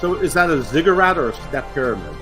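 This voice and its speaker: A man's voice: fairly high pitch, sing-song, quick pace, over music. A man co-hosting a casual online awards show, teasing the others with dry wisecracks. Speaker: co-host.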